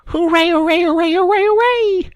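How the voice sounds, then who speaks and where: A reader putting on a shrill, excitable storybook Rat. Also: slow, dry recording, clean studio sound, exaggerated voice. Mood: jubilant. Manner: shrill, exclamatory, bossy. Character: excitable male water rat, impatient. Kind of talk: storybook read-aloud.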